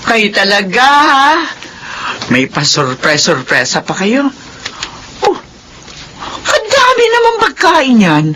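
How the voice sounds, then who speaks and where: A woman's voice: on the high side, wildly swooping pitch, slow pace, long pauses, exaggerated voice. An actress playing a loving, long-suffering middle-aged mother in a tender, emotional radio drama style. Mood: delighted surprise. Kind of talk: Filipino radio drama acting. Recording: radio drama with noisy background.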